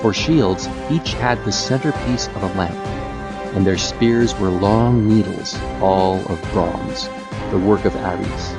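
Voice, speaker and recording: A man's voice: fairly low pitch, vocal fry. A man narrating an epic tale as a scripted, dramatized audiobook. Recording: music and effects under the voice.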